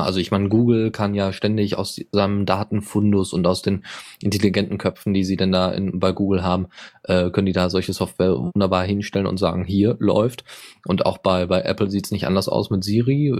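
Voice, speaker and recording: A man's voice: low-pitched, darkish tone. A man hosting a podcast, chatting casually through tech news, rambling with many fillers and self-corrections. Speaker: podcast host.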